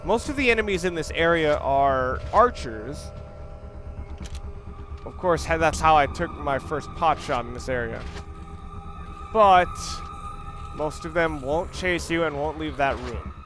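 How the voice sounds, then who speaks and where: A man with a fairly high voice gives casual commentary on a video game. He explains as he goes, often halting and rephrasing, with faint game music underneath. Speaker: let's play commentator. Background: video game audio and music.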